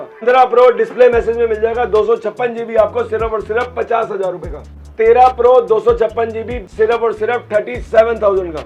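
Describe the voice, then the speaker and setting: A man with a sore throat, selling phones in his shop and rattling off prices in short clipped lists. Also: sits high. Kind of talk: sales pitch in a shop. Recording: dull lo-fi sound.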